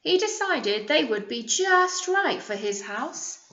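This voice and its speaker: A British woman reads a storybook as a primary teacher would, with a highish, very lively voice that rises and falls. She speaks simply to young children and stops to ask them questions. The sound is roomy. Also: slow pace, highs cut off. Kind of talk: picture book read-aloud with teaching asides and questions.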